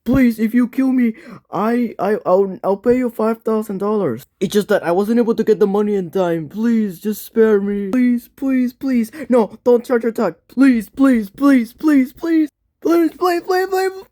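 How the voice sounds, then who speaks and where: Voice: medium pitch, takes it slowly, hardly any pauses, dark tone, voice pushed up, close to an everyday voice. A performer voicing a terrified figure in a fan-made animation, frantic and stammering as it pleads for its life. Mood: panicked begging.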